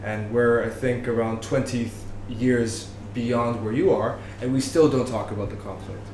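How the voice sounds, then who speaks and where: A man from Lebanon with a mid-pitched voice answers at a live panel discussion. He rephrases as he goes, sounding thoughtful. The room is echoey, with faint background noise. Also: fairly even intonation, moderate pace, darkish tone. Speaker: panelist, researcher of street art. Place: bar venue, live audience discussion.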